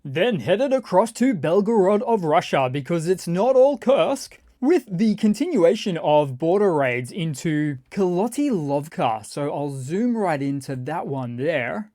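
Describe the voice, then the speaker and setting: Narrator for map-based war news: soft-edged, relaxed voice, very dry close recording, measured with wry touches. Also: medium pitch, no room sound. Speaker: news commentator and channel host.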